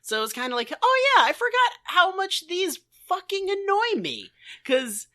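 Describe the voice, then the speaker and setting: A man's voice: extremely high pitch, wildly swooping pitch, unhurried, pushed and bright. A man co-hosting a podcast with casual comedic commentary, riffing sarcastically and swearing freely. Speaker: podcast co-host.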